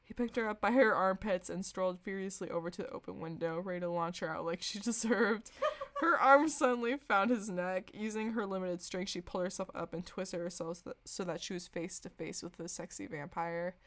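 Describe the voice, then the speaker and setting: A woman with a slightly low voice hosts a comedy podcast. She reads fan fiction aloud as mock-serious drama. Amusement often colours the narration. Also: fairly quick, a bit bright. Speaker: podcast host.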